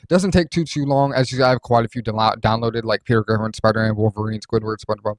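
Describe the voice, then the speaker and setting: A man hosting a casual software walkthrough, explaining things in an informal, loosely rambling way. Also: on the low side, steady tone, quick pace, no room sound, studio quality. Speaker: host reviewing voice changer software.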